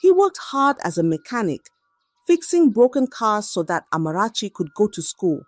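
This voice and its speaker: A woman storyteller giving a dramatic scripted narration of a folktale in short, measured phrases. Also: mid-range voice, very animated, dry recording, studio quality, faint music behind.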